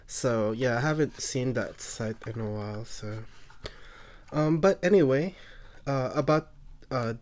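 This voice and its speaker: Congested guy, slow and halting delivery, lots of filler words, casual card review host. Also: mid-range voice. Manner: hesitant, lots of ums and uhs. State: congested, phlegmy, throat-clearing. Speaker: card collecting channel host.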